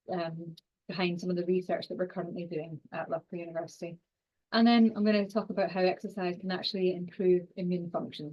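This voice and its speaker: A woman in her forties with a strong Scottish accent, giving an online science lecture quickly, with frequent fillers and restarts. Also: fairly low pitch, highs cut off. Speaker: university lecturer and exercise scientist.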